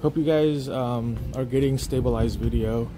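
A man's voice: mid-range voice, steady tone, moderate pace, music in the background. A young man walking through a beach resort, talking casually into a phone on a gimbal, rambling with many fillers and restarts. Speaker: travel vlogger. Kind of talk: casual walking tour commentary.

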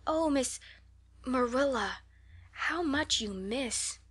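A woman's voice: a little high, brisk, a bit bright, lightly acted. A woman voicing an eager, imploring, talkative young orphan girl in an audiobook, earnest and effusive. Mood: wondering. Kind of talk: audiobook character voice.

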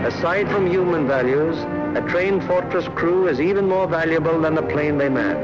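A man reading formal, measured 1940s military newsreel narration. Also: on the high side. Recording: dull old film soundtrack with music.

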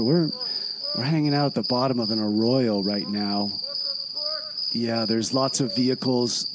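A man playing a chatty stranded time traveler, giving a casual, hushed, confiding report in radio comedy. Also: mid-range voice, very noisy, lightly acted. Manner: hushed, confiding, laid-back. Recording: loud night-time insect ambience. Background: crickets and outdoor ambience.

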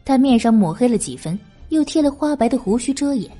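A woman's voice: on the high side, lively intonation, fairly quick, dark tone, soft background music. A woman narrating a historical novel as an audiobook in a dramatic, scripted style.

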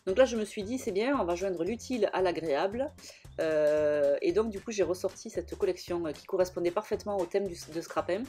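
A young woman narrating her own craft video in a casual, halting way, full of fillers and restarts. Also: lowish voice, mellow. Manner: hesitant, many fillers and restarts. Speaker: scrapbooking vlogger.

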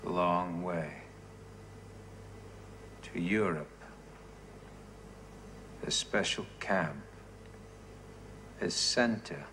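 An actor playing a dazed former prisoner who speaks in halting, flat, broken phrases, in a 1970s TV drama. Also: male voice, low-pitched, a little hiss or hum, heavily acted. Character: dazed man, a former prisoner reliving brainwashing.

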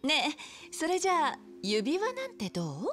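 A friendly bar hostess and confidante in a video game scene. Her voice is fairly high with lively intonation. She phrases things softly and coaxes cheerily, over faint music. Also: normal speed, heavily acted. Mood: coaxing, helpful. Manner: soft feminine phrasing, chatty and encouraging. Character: friendly, coaxing bar hostess, a familiar big-sister confidante. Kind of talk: video game cutscene acting.

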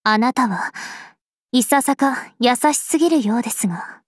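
A woman playing a regal, serene vampire princess of a video game, speaking formally and with measured poise. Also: on the high side, no room sound, studio quality, lightly acted. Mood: serene, pensive. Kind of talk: scripted mobile game character voice lines.

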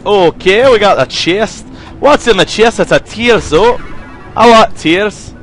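A young man chats his way through a game run, rambling and poking fun at himself as he addresses his viewers. His pitch bounces around animatedly from line to line. Quiet game music plays softly under his voice. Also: lively intonation, a little slow. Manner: rambling, joking, self-mocking, talks to viewers. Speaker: gaming video host. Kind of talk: casual gameplay commentary. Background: faint game music.

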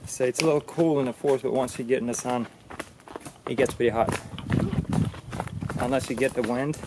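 A man's voice: medium pitch, fairly even intonation, a little fast. A man in his thirties chatting to camera while hiking an outdoor mountain trail, filmed at arm's length. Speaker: vlogger. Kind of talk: casual travel vlog. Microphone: handheld camera at arm's length. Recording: loud outdoor noise on camera mic.

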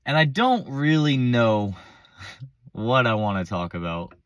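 A young man with a hoarse voice from a cold, rambling casually and unscripted, recorded on a phone camera in his parked car. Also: medium pitch, animated, takes it slowly, a bit bright, no echo, clean studio sound. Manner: rambling, self-interrupting, casual slang. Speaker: vlogger. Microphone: phone front-facing selfie camera.

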